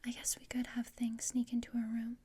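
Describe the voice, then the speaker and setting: A woman voicing a gloomy, sardonic teenage outcast girl in a whispered ASMR roleplay, speaking in a deadpan, haughty, breathy whisper. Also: fairly high pitch, fairly quick. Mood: scheming.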